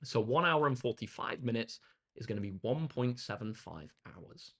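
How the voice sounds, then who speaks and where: Slightly hoarse young male maths tutor. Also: mid-range voice, animated, moderate pace, fairly bright tone. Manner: upbeat, chatty, reads out chat comments. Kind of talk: live-streamed exam walkthrough.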